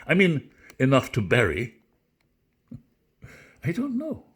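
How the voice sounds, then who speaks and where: A man's voice: mid-range voice, wildly swooping pitch, slow pace, a bit bright, slightly rough, pitched above the performer's own voice, exaggerated voice. A man reading a novel dramatically as its weary, muddled narrator, in a put-on Irish accent with a plaintive, resigned manner. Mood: puzzled, plaintive.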